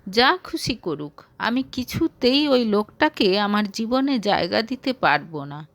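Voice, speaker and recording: A man's voice: high voice, expressive, a little slow, fairly bright tone, no room sound, exaggerated voice. A woman voicing a distraught young male boss in an audio story, hurt, stubborn and pleading like a child. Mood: bitter, resolute.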